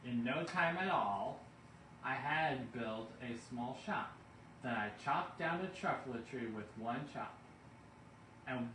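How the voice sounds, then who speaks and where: Male storybook narrator: slow, deliberate, expressive verse reading, distant mic with faint noise. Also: medium pitch, reverberant. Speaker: bedtime story reader.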